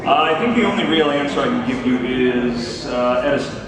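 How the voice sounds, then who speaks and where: A man on a convention panel talks off the cuff in a joking, halting way. His pitch swings about, and his voice carries from a distance through a very echoey hall with a loud crowd. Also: lively intonation. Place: large convention panel room with audience.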